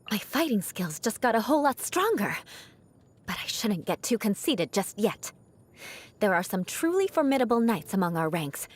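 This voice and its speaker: A woman's voice: mid-range voice, breathy edge. A young woman voicing a proud, haughty noblewoman knight in a video game, an outcast bent on revenge, with formal, dramatic diction. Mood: confident, vowing. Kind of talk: video game character voice lines.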